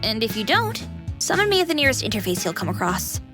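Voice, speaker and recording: A woman's voice: on the high side, very animated, fast. A woman voicing a playful, caring female AI companion with a girlish anime persona in a video game, chirpy, teasing and polite. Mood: helpful, teasing. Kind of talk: scripted video game voice acting. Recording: music behind the voice.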